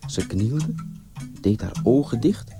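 Guy narrating a story, medium pitch, scripted delivery, music clearly going behind him. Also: expressive, slow pace.

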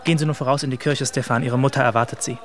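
An actor playing a polite, worried young private tutor, speaking formally and respectfully in a radio drama. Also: male voice, mid-range voice, steady tone, very fast, soft background music, natural voice. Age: young adult. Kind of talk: naturalistic German radio drama acting.

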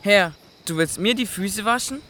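A man's voice: high voice, moderate pace, sharp tone, a little hiss or hum. An actor playing an impulsive, devoted male disciple in a radio drama, speaking urgently and protesting.

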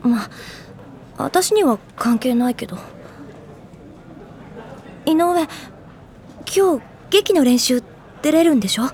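A woman's voice: high-pitched, expressive, slow, long pauses, darkish tone. A woman voicing a prickly, aloof teenage schoolgirl who hides her concern, talking in a curt, awkward way in a Japanese audio drama. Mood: curt, feigned indifference.

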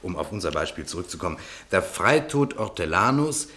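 Give this to an earnest defence lawyer in a radio drama. His voice sits low, and he reasons in formal, articulate sentences, pressing his case with insistence. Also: big pitch swings, brisk, fairly bright tone. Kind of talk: German radio play dialogue.

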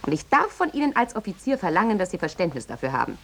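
Ruthless female journalist, 1950s radio play: low, husky, very animated, insistent and theatrical. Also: quick pace, heavily acted. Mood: imperious. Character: brazen, scheming woman newspaper reporter, ruthlessly self-serving. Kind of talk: 1950s German radio satire acting.

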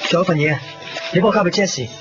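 A busy young Hong Kong man played by an actor in a radio drama, practical and caring. He talks fast in a fairly high voice. The sound is a bit dull and lo-fi. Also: music in the background, lightly acted. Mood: hurried, decisive. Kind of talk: naturalistic radio drama acting.

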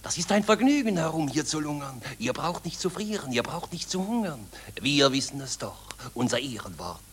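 Cynical war profiteer, raised pitch, sardonic rhymed radio delivery, faintly noisy recording. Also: male voice, very animated, moderate pace. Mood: cynical, gloating. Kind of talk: stylized rhymed radio drama.

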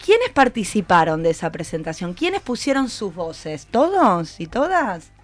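A woman's voice: mid-range voice, sing-song, measured pace. An Argentine woman hosting a live conversational radio interview, echoing back answers in an encouraging way. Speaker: radio host. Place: radio studio with a group. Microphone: studio microphone.

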